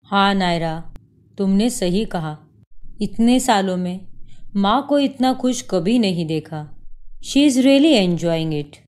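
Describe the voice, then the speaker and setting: A woman voicing a calm, agreeable young husband in a cartoon story. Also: mid-range voice, slow. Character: young husband, affectionate son.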